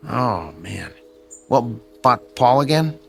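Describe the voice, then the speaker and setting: A man's voice: mid-range voice, big pitch swings, slow, creaky, faint music behind, heavily acted. An actor playing an awkward, lovesick male neighbour in an audio drama, hesitant and stammering. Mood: hopeful, awkward.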